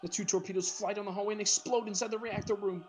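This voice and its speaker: A man's voice: sits high, fairly even intonation, fast talker, slight background noise. A man reading screenplay directions aloud as the narrator of a group script reading.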